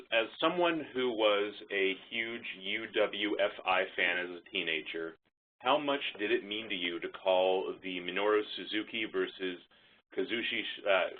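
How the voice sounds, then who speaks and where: A man moderating a press conference call, relaying questions sent in by journalists. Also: low voice. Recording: noisy, tinny phone line with clicks.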